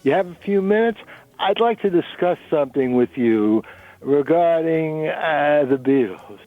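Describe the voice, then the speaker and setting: Earnest, questioning man, higher-pitched, as a narrator reads him. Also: animated, measured pace, narrow, tinny audio. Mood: earnest, curious. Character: curious, uneasy man confiding in a new acquaintance. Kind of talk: dramatic reading of novel dialogue.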